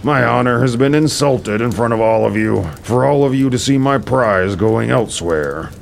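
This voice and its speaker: A man's voice: medium pitch, normal speed, creaky, a little hiss or hum, lightly acted. A man playing an arrogant, wrathful king and army commander in an epic audio drama, imperious and menacing in his declamation. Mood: grudging, aggrieved.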